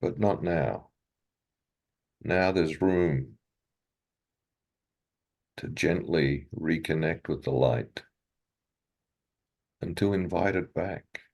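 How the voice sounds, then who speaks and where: Man, very low voice, slow pace, gentle guided meditation delivery, short phrases with long pauses. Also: creaky.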